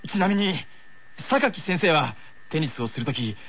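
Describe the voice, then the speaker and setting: A man voicing a brash, confrontational teenage tennis player, rough and challenging, for an anime radio show. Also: a little high, sing-song, a little slow, a bit bright, slight background noise, heavily acted. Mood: cocky challenge.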